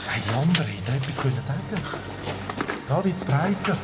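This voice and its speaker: A man playing an excitable, grumbling young boy adventurer in a Swiss German children's audio drama, talking colloquially and impatiently. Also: medium pitch, fairly quick, lightly acted. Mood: uneasy, impatient. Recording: roomy echo under loud music.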